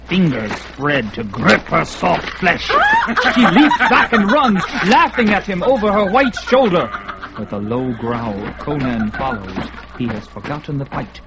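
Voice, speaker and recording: A man giving dramatic scripted narration for a radio drama, measured and intense. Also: on the high side, rough recording. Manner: dramatic, measured, rising with the action.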